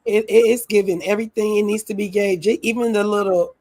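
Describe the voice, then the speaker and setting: A woman co-hosting a reality TV recap. She is under the weather, which makes her voice deeper than usual, and she talks eagerly with repeated words. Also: fairly even intonation, brisk, a little mellow, dry recording. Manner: enthusiastic and agreeable, repeats words. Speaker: co-host of a reality TV recap show. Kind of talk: casual chat reviewing looks.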